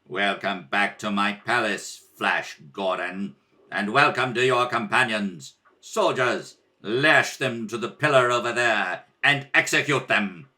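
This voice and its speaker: A man's voice: on the low side, measured pace, sharp tone, pitched below the performer's own voice. A man playing a cruel, imperious tyrant emperor in a radio serial, gloating and commanding. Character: Ming the Merciless, menacing, cruel male tyrant emperor.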